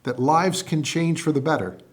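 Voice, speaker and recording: A man's voice: fairly high pitch, moderate pace. A man reading a scripted church announcement for radio as an announcer.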